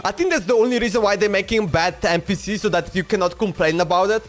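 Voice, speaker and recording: A man's voice: sits high, fast. A man livestreamer chatting casually in a slangy, exclamatory way as he reacts, often repeating himself. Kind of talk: casual reaction stream chat. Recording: background music under voice. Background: music.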